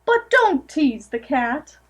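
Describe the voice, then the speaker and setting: A woman voicing a kindly but firm old boatman who speaks in slow, measured warnings. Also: high-pitched, very animated, a little hiss or hum, heavily acted.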